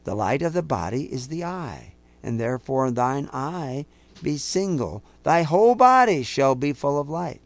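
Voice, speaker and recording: A man preaching a sermon to his listeners, accusing and emphatic, slowing down to quote scripture in a measured cadence. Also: a little high, big pitch swings. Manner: intense, rising to emphatic outbursts; accusing, speaks directly to listeners.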